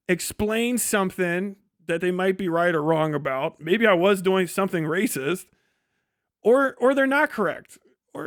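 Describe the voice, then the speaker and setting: A man with a notably high voice talks off the cuff as a livestream host. He is blunt and sarcastic and swears freely. The sound is dry and close, with very little room in it. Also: fairly bright tone, no room sound. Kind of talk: casual livestream commentary.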